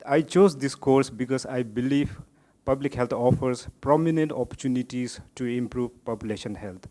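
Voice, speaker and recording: A man from Bhutan, a graduate student, giving a prepared self-introduction in a steady manner. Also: mid-range voice, moderate pace.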